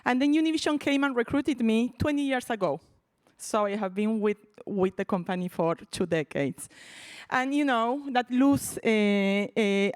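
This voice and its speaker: A woman with an Argentine Spanish accent speaks into a handheld mic at a banquet, chatty and a little halting. She keeps reaching for words with ums and restarts, and her voice sits dry and close. Her pitch sits in a middle range. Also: a little mellow, no room sound. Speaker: award honoree, media executive. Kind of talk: off-the-cuff acceptance speech. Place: event hall at a breakfast banquet. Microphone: handheld microphone.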